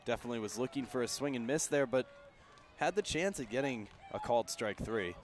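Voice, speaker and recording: A man giving live baseball analysis on the radio in a conversational, slightly halting way, outdoors at a ballpark. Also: medium pitch, animated. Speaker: color commentator.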